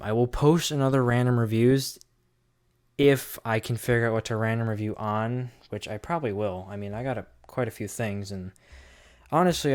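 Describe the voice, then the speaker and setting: A man's voice: mid-range voice, a little mellow. A man with a clean, resonant voice hosts a casual product demo. He talks informally and directly to viewers, rambling a little as he explains each control and feature. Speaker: host of a gadget review series.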